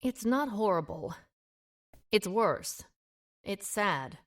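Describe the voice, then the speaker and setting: A woman's voice: mid-range voice, animated, takes it slowly. A woman playing a guarded, independent small-town amateur sleuth in an audio drama, with a wry, reserved manner. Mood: wistful, guarded. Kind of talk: audio drama dialogue acting.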